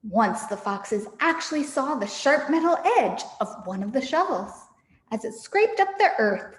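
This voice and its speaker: Animated woman reading a story, echoey room. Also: sits high, unhurried. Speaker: storybook reader for a camp audience. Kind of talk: expressive children's story read-aloud. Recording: echoey room with long reverb.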